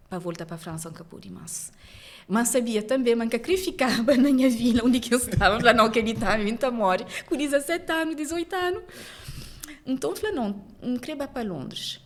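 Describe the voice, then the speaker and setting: A woman from France with a Cape Verdean accent, a journalist telling her story as a podcast guest in lively conversation. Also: on the high side. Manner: animated storytelling, quick laughs. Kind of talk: casual interview conversation.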